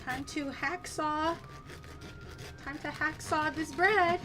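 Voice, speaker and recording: A woman's voice: high-pitched, fairly even intonation, takes it slowly, rough recording. A woman whose first language is Mandarin, chatting and giggling in a playful way while cooking on a casual livestream. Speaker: streamer. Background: background music.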